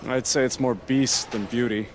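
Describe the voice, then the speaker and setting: A man's voice: mid-range voice, fairly even intonation, moderate pace, lightly acted, rough recording. An actor playing a goofy, agreeable male wrestling sidekick with comic timing in a video game cutscene. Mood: wry. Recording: clear background noise.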